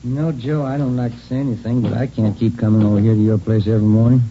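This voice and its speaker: An actor playing a homely, fussing police partner in a casual, hesitant manner, in 1950s radio drama style. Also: male voice, fairly low pitch, flat delivery, quick pace, close to an everyday voice. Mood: easygoing, fussing. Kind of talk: naturalistic 1950s radio acting. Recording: muffled, crackly vintage radio recording.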